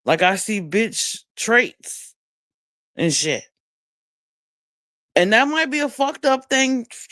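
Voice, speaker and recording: A woman hosting a late-night livestream, talking bluntly and profanely, musing slowly with many restarts while high. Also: no room sound. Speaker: livestream host. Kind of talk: late-night casual commentary. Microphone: streaming mic.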